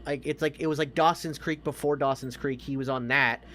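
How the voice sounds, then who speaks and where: A man's voice: medium pitch, fairly even intonation, a little fast, sharp tone, a little hiss or hum. An American man in his thirties, a podcast co-host chatting casually and emphatically about films, with plenty of swearing.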